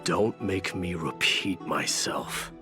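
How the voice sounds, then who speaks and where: A man's voice: low voice, takes it slowly, over music. A man voicing a proud young warrior crown prince in a video game, speaking formally and with stern command. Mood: stern, threatening.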